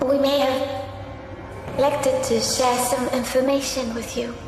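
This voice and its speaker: A ghostly young sister in a dark fantasy game. Her voice is high, earnest and formal, floating in long, heavy reverb. Music sits behind her gentle words. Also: flat delivery, slow. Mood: solemn, resolute. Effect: long ethereal reverb.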